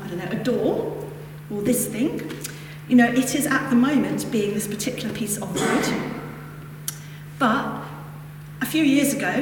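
A woman with a northern English accent gives a reflective Buddhist teaching, meandering through casual asides. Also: a little high. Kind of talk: dharma talk to a group. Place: hall with a lectern. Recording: echoey hall, distant mic, background noise.

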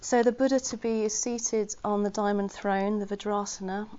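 A woman of middle pitch gives an informal talk to her Buddhist community. She is chatty and earnest, with flashes of self-deprecating humour. The recording sounds slightly muffled. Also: fairly even intonation, moderate pace, dark tone. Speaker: Buddhist order member giving a talk. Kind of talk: informal Dharma talk to a gathering.

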